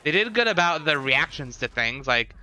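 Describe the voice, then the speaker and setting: A man's voice: fairly high pitch, big pitch swings, moderate pace, pushed and bright, a little hiss or hum, poor audio. A man chatting casually to viewers as a livestreamer, giving commentary with sarcastic, unimpressed asides.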